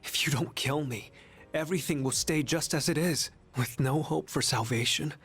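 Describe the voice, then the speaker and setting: An actor playing a gentle young harbinger of death in human form for a video game, speaking softly with grave, resigned, pleading delivery. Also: male voice, fairly high pitch, moderate pace, slightly rough, soft background music. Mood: sorrowful, pleading. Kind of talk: English video game voice acting.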